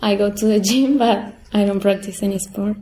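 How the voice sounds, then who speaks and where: A woman's voice: mid-range voice, steady tone, normal speed, dark tone, reverberant, a little hiss or hum. A woman, a student speaking simple English with fillers. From Argentina. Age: 20s. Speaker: language student. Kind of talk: casual podcast interview.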